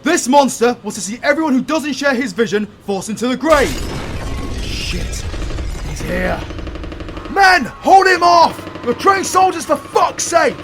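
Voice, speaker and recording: A man's voice: very high-pitched, animated, raised voice, faint music behind, full character voice. A British man playing a panicked military father in an audio roleplay, talking urgently and exclaiming. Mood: shouting orders. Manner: urgent, rapid, exclaiming, swearing. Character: panicked, gruff military father defying a werewolf.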